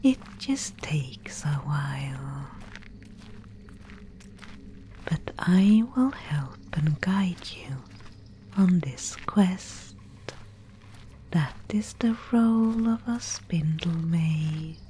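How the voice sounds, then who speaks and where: A woman performs a mysterious maiden who guides lost souls in a dark fantasy audio roleplay. Her breathy whisper is low and mellow, with a solemn, hushed manner. She speaks extremely slowly, leaving deliberate pauses. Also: animated, a little hiss or hum, heavily acted. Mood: ominous, guiding. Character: mysterious female Spindlemaid guide in a gloomy dark fantasy realm.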